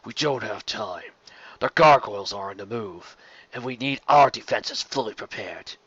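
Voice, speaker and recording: Man voicing a gruff, gravelly dragon. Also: lowish voice, expressive, takes it slowly, sharp tone, no room sound, highs cut off, exaggerated voice. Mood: sneering. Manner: sneering, terse. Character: gruff, curt, intimidating turquoise dragon.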